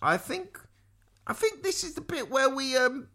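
A reader as an urgent, high-voiced girl. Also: sing-song, measured pace, a bit bright, exaggerated voice.

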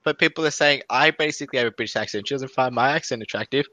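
A man's voice: medium pitch, fast, pushed and bright, no room sound. A young Australian man with an Australian accent, co-hosting a podcast in a laid-back casual chat and laughing easily. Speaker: podcast co-host. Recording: faint background hiss.

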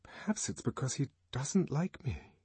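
A man's voice: medium pitch, big pitch swings, measured pace, mellow, slightly rough, dry recording, voice pushed up, exaggerated voice. A man voicing an anxious, lovesick young woman who talks in a wistful, doubting way. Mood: hurt.

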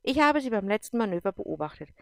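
A woman reading aloud and voicing a strict, commanding female general who talks in clipped, forceful sentences. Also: mid-range voice, sing-song, fast, vocal fry, no room sound, clean studio sound, voice pushed up. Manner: clipped, forceful, brooks no argument. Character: stern, commanding female general, middle-aged.

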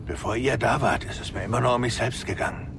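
An actor playing an excitable, soft-hearted gambler pilot, an alien, in a game audio drama, chummy and pleading. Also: male voice, medium pitch, fast, vocal fry, background noise, close to an everyday voice. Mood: pleading, remorseful. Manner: chummy, pleading, wildly swooping delivery. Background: music.

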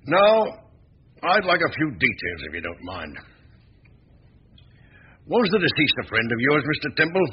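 Stern police sergeant, swooping intonation, muffled. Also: male voice, on the high side, big pitch swings, unhurried, long pauses, breathy edge, full character voice. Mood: probing. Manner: clipped, probing, authoritative. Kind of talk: 1940s radio mystery acting. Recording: noisy, muffled old radio drama recording.

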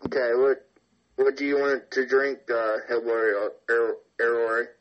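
A man voicing an obliging, slow-witted hillbilly man who hesitates and stumbles over words. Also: mid-range voice, steady tone, muffled recording, slight background noise, close to an everyday voice.

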